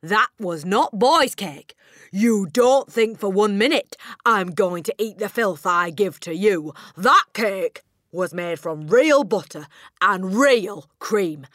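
A tyrannical storybook headmistress. She is theatrical and menacing, with wide swings in pitch and drawn-out, barked emphasis. The recording is close and dry. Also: very animated, dry recording, full character voice. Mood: outraged. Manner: booming, barking insults with drawn-out emphasis. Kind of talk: audiobook character acting.

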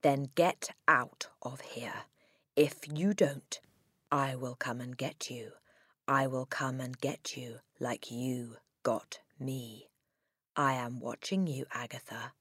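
Woman voicing a slow, whispering, menacing ghost. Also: extremely low pitch, steady tone, dry recording, studio quality, voice pushed down, heavily acted. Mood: threatening. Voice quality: breathy, whispery. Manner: slow, hushed, ominous. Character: menacing ghostly dead man writing from beyond.

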